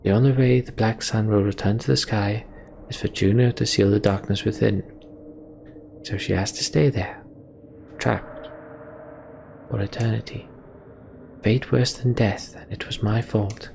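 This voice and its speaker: A man voicing a weary, guilt-ridden fantasy warrior from a video game in a grave, halting confession. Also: fairly low pitch, fairly even intonation, creaky, darkish tone, a little hiss or hum. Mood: regretful.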